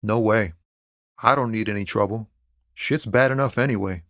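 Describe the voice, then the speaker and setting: An actor playing a laid-back, philosophical lorry driver and amateur poet in a video game, talking in a wry, easygoing, slangy way. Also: male voice, on the low side, normal speed, fairly bright tone, dry recording, studio quality. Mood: weary, earnest. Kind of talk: video game character dialogue.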